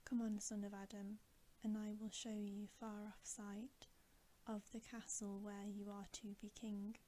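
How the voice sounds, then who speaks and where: A woman voicing a great, calm, royal male lion in a soft, grave whisper for a bedtime storybook. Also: mid-range voice, unhurried, a little hiss or hum, lightly acted. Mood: grave, regal. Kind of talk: bedtime ASMR storybook reading.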